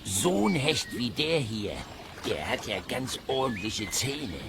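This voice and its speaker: An actor playing a fat, jovial, know-it-all angler in a children's radio play, talking bluntly and colloquially. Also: male voice, mid-range voice, big pitch swings, slow, vocal fry, noisy background, full character voice. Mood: dismissive, sure.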